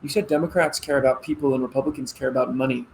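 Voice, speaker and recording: A man playing an earnest, gently firm grown son and expectant father in a naturalistic one-act play. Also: medium pitch, steady tone, rapid-fire, creaky, slight background noise, close to an everyday voice, poor audio. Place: virtual play performed over video call.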